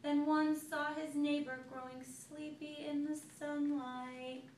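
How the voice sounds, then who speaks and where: A woman's voice: sits high, level tone, slow pace, a little mellow. A woman teacher reading a picture book aloud to a child, telling it expressively and stopping to ask questions. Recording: echoey room, recorded from far off, noisy.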